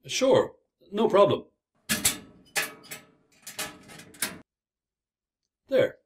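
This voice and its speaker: A man's voice: high-pitched, very animated, slow pace, a little mellow, a little hiss or hum, full character voice. An actor playing an anxious, earnest working man in a radio play, stammering and agitated. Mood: startled, confused. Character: anxious, wrongly accused working man pleading innocence.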